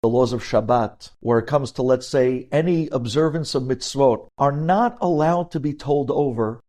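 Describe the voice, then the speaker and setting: A man with a mellow, relaxed tone and a medium pitch delivers a religious lecture as a rabbi. He addresses his listeners directly and stresses his points firmly. Hebrew religious terms are woven through his sermon-like delivery. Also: moderate pace. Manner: emphatic, addresses listeners directly with rhetorical questions. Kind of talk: sermon-like lecture with storytelling and acted-out dialogue.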